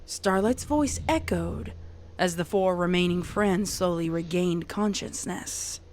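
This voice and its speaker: A woman's voice: lowish voice, takes it slowly, darkish tone, slight background noise. A woman reading a dramatic scripted story as its narrator. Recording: music behind the voice.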